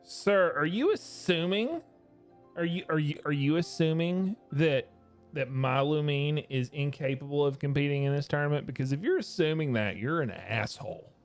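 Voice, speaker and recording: A man streams gameplay with loose, sarcastic commentary. His voice is on the high side, and game music plays softly behind him. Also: expressive, normal speed. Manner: informal, sarcastic. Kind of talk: casual gameplay reaction chat.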